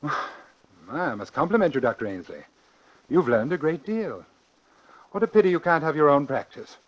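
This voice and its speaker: An actor playing a cold, calculating male doctor in 1940s radio suspense style, speaking formally and deliberately with smooth persuasion. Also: on the high side, lively intonation, no room sound, lo-fi sound. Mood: sly, coaxing. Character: cold, calculating male doctor plotting his own death. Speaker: actor narrating and playing lead. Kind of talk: 1940s radio suspense acting and first-person narration.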